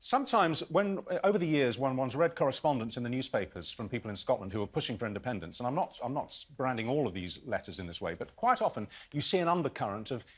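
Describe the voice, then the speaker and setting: A man's voice: medium pitch, animated, fast, hardly any pauses, husky. A man hosting a radio phone-in debate and putting probing, challenging questions to guests and callers. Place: radio studio.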